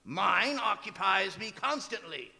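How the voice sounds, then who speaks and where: A reverberant theatre recording of a cantankerous old Victorian miser. The voice is high and gravelly with a piercing edge, barking out cold scorn in emphatic radio-play style. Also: male voice, big pitch swings, unhurried, sharp tone, heavily acted. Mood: cold, contemptuous. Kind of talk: stage radio drama acting.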